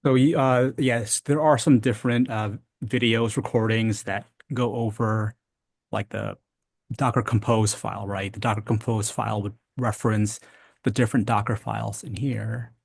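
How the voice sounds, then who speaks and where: A man with a fairly low voice works as a technical instructor. He explains things informally and checks that the listener follows. The sound is very dry, with no room around it. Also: fairly even intonation, normal speed, gravelly, husky, no echo. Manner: explanatory, checks understanding with "right?". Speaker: programming instructor.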